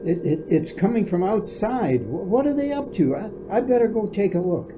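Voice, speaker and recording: Actor as incredulous family man, insistent and exasperated, very animated pitch, old radio play, slight background noise. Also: moderate pace. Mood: puzzled, uneasy. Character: bewildered, exasperated husband and father. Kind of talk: old-time radio drama acting.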